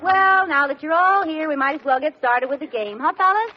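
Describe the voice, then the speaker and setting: Chirpy, naive old-radio sitcom wife. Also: female voice, sits high, lively intonation, a little fast, soft background music. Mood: bright, naive. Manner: guileless, literal-minded, chirpy. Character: cheerful, naive wife eager to be one of the fellas. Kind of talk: 1940s-50s radio sitcom acting. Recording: dull, noisy old radio recording with audience.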